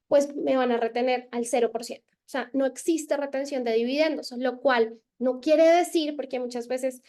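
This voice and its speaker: A woman's voice: sits high, fairly even intonation, moderate pace, warm tone. A Colombian woman presenting a webinar as a tax consultant, explaining step by step with frequent filler sounds over a video call.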